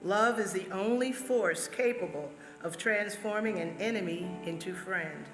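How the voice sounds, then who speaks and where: A woman's voice: medium pitch, a little slow. A woman reading quotations aloud as a church member. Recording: echoey hall, music underneath. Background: music.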